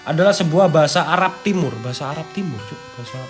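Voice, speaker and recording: A man with a fairly high voice and an East Javanese accent chats casually as a video host. He mixes read-out passages with slangy asides and interjections. Music plays behind him. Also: lively intonation, quick pace. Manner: slangy, peppering talk with interjections. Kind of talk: casual chatty commentary, partly reading out an article. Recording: background music under the talk.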